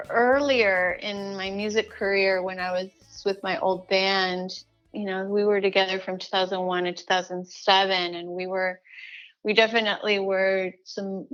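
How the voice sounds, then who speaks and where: A middle-aged woman from Chile talking as an interview guest, animated, with many restarts and fillers. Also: medium pitch, highs cut off. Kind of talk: casual interview chat.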